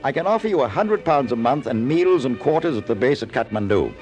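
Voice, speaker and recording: An actor playing a genial, principled British air freight operator in 1950s radio drama, politely spoken. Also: male voice, medium pitch, big pitch swings, fairly quick, darkish tone, faint music behind, close to an everyday voice. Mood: genial, reasonable.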